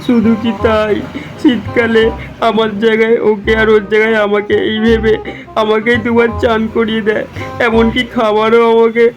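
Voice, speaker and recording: A man plays a brash young male thief in a funny cartoon. The voice is high yet monotone, with a sly, slangy delivery. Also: normal speed, lo-fi sound, faint music behind, close to an everyday voice. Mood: mock pitiful. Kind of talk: comic cartoon dubbing.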